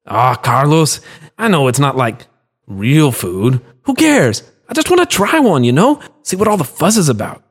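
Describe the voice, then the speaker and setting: A man voicing an excitable young male watchman who talks in eager slang. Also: medium pitch, slow. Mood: excited.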